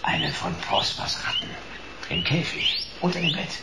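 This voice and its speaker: An actor playing a gruff, reluctant private detective in a sci-fi radio play, talking in terse, colloquial grumbles. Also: male voice, a little high, huge pitch swings, unhurried, heavy background noise, full character voice. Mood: reluctant, wry.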